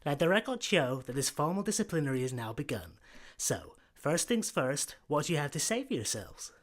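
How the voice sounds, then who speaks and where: A man's voice: fairly high pitch, big pitch swings, moderate pace. A man playing a pompous, officious space service disciplinary official with formal speech in a sci-fi comedy radio play. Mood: stern, pompous.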